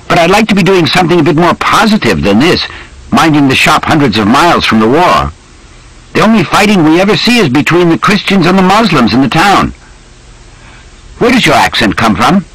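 Man doing a young army captain, clipped, polite, high-pitched, husky audiobook voice. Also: very animated, fairly quick, a bit bright, no room sound, heavily acted, low-quality recording. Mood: brisk. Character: young male army captain, brisk and sharp-witted.

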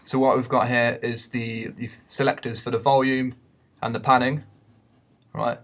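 A man giving an unscripted software tutorial in a hesitant, explanatory way. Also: medium pitch, little pitch movement, normal speed. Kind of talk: unscripted screen-recorded tutorial. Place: voice-over for a screen recording.

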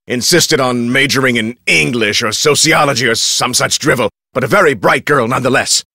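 Pompous, creaky-voiced irascible director. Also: male voice, mid-range voice, brisk, sharp tone, dry recording, studio quality. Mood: softened, condescending. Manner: pompous, clipped, exacting. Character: irascible, self-important male research facility director. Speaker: head of a research facility. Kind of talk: video game character acting.

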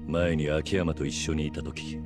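A man's voice: very deep voice, level tone, unhurried, loud music behind the voice. A male actor playing a stern, resolute middle-aged yakuza veteran in a video game, speaking in terse, grave, blunt phrases. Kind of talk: dramatic video game acting.

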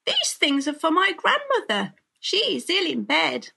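Fairy-tale heroine, small girl, innocent and spirited, high exclamatory delivery, acted by a woman narrator. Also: huge pitch swings, a little slow, vocal fry, full character voice. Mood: indignant. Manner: bright-eyed, exclamatory, innocent. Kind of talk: children's storybook character voice.

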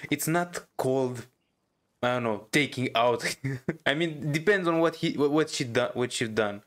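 A man's voice: medium pitch, measured pace, a bit bright. A man doing casual playthrough commentary, amused, reading dialogue and thinking aloud in a hesitant way. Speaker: gamer reacting on video.

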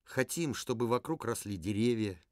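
An earnest puppet survivor from a ruined future , voiced by a man, lecturing with lively rises and falls in his voice. The sound is dry, with almost no room around it. He hesitates now and then in the middle of a thought. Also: medium pitch, normal speed, no echo, natural voice. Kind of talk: puppet theatre performance.

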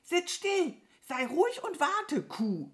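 A bossy, excitable storybook crow, acted out by a woman. The voice sits high and swings widely, with an agitated, scolding manner that frets over everything. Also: measured pace. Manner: agitated, scolding, stammers when alarmed. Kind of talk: children's storybook character voice.

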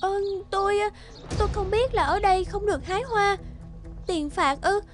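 A woman playing a timid, gentle young maiden in a folk-tale cartoon, hesitant and polite. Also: very high-pitched, moderate pace, soft background music. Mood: frightened. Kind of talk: cartoon voice acting.

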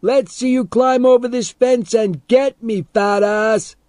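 A man voicing a defiant, mouthy young boy in a story, jeering and shouting to pick a fight. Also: on the low side, very slow, dry recording, clean studio sound.

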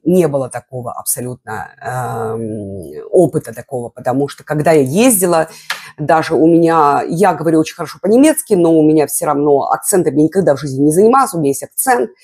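A woman's voice: deep voice, darkish tone, husky. A woman in her sixties, a vlogger chatting to her viewers from her living room in a chatty, digressive way through a lapel mic. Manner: chatty, digressive, many fillers. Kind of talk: casual chat to viewers. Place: living room at home, indoors.

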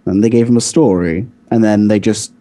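A man's voice: lowish voice, normal speed, dark tone. A man co-hosting a podcast, explaining his points insistently in a casual debate. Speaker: podcast co-host.

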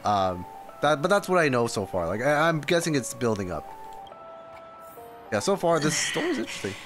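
Young guy streamer reacting to a game, chatty, animated voice, faint music in the back. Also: mid-range voice, moderate pace. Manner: reactive, repetitive exclamations and musing. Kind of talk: casual live game commentary.

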